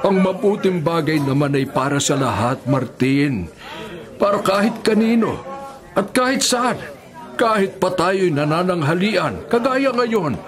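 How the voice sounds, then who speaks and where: A man acting in a Filipino radio drama as a gentle, God-fearing older prisoner who sermonizes earnestly as a peacemaker. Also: high voice, lively intonation, unhurried, crowd noise. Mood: earnest, preaching.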